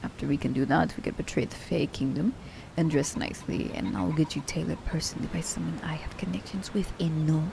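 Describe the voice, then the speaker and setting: A woman's voice: deep voice, fairly even intonation, fairly quick, creaky, background noise. A woman playing a sly, commanding assassin who teases her captive werewolf in an ASMR roleplay, with a haughty, teasing manner. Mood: cool, scheming.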